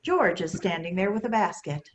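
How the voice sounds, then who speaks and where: A woman's voice: lowish voice, animated, moderate pace. A woman reading stage directions as a scripted narrator.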